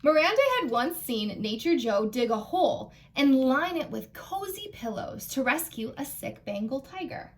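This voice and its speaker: American lady doing kids storytime, really high voice, animated picture book reading, talks to the kids. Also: normal speed, hardly any pauses. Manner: animated, asks listeners questions. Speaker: children's librarian reading storytime. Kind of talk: expressive picture-book read-aloud for children.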